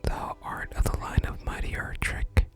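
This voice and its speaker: A gaming ASMR creator speaking in a soft breathy whisper, gently and deliberately reading game dialogue and adding casual commentary. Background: game music.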